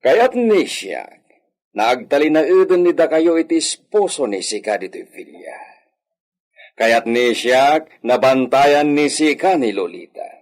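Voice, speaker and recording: A man's voice: medium pitch, sing-song, measured pace, a little mellow. A man with a Filipino accent acting a stern, commanding and jealous wealthy landowner in a radio drama. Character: stern, wealthy, bitterly jealous hacienda master.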